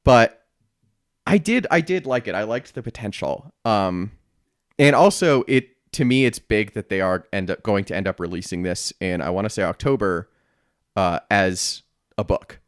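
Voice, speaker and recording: A man's voice: fairly low pitch, lively intonation, moderate pace, no echo, studio quality. A man co-hosting a podcast, giving his opinions in casual, earnest and upbeat discussion. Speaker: podcast co-host.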